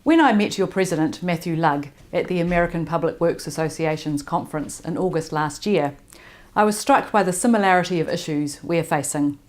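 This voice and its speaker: A woman with a New Zealand accent giving a prepared conference presentation, speaking slowly and deliberately. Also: on the low side. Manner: slow, deliberate delivery. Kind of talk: prepared presentation read by remote video. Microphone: recorded remotely, not in person.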